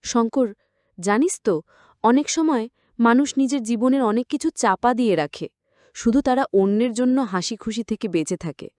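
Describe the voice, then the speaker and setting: A woman's voice: a little high, normal speed, mellow, no echo, clean studio sound, lightly acted. A woman voicing a sorrowful, secretive but affectionate aunt in a narrated story, reflective and confiding. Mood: sad, confiding. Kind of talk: acted dialogue in story narration.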